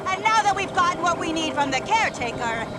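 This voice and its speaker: An actress playing a cocky, vengeful villainess in a video game, mocking and menacing. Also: female voice, very high-pitched, sing-song, fast, very noisy. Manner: mocking, drawling taunts.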